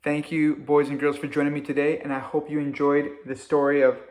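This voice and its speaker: A man reading a story aloud to children in a deliberate, expressive way, like a teacher. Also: on the high side.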